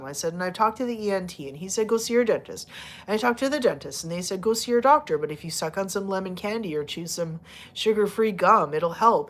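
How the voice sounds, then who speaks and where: Chatty woman streamer, voice going hoarse. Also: mid-range voice, fairly bright tone. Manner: giggly, playful baby-talk to her cats; chatty and rambling, many fillers. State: losing her voice. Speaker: livestream host talking to viewers and her cats. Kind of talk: casual live chat.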